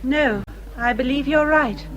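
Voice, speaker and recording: An actress playing a gentle, earnest young English gentlewoman in a period radio drama, polite and thoughtful. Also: high-pitched, unhurried, muffled recording. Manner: polite, soft-spoken, thoughtful.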